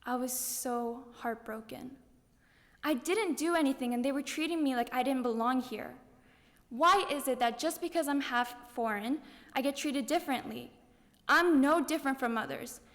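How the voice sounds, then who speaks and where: A woman's voice: high-pitched, normal speed, fairly bright tone. A young woman from Japan giving an earnest, emphatic, rehearsed personal speech as a student contestant. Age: young adult. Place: large indoor hall at a speech contest. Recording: reverberant hall.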